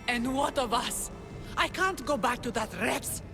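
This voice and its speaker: A woman's voice: high voice, a little slow. A woman voicing a frightened, grateful mother in a video game. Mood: fearful, grateful. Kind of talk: dramatic video game dialogue. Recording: long cave reverb, soundtrack music behind. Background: music.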